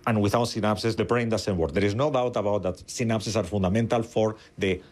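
Low-voiced Spanish-accented male scientist. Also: brisk, darkish tone. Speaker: interviewed neuroscientist. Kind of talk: explanatory interview talk.

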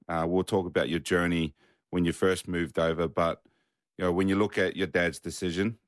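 Deep-voiced male co-host. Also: dry recording, studio quality. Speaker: podcast co-host. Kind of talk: casual podcast chat.

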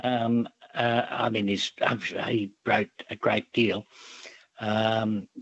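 A man's voice: on the low side, little pitch movement, gravelly, slightly rough, muffled recording. A man calling in to a radio show, hesitant and thoughtful, with many ums. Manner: halting, slow, full of ums, thoughtful. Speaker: caller. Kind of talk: phone-in chat.

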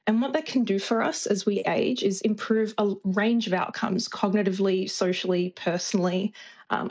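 Breathy woman explaining research. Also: mid-range voice, a little mellow. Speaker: researcher guest. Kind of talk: radio interview.

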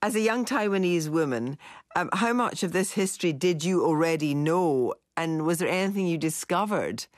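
A woman interviewer asking calm, probing questions. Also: fairly low pitch, moderate pace, no room sound, clean studio sound.

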